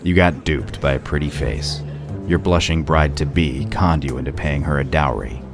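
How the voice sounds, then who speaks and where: A gruff detective played by a man in an audio drama. The voice is extremely low and creaky, with a dry, sardonic edge. Music plays clearly underneath. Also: normal speed. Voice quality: gravelly. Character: gruff, sardonic male detective laying out a case. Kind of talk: audio play acting, detective explaining a case.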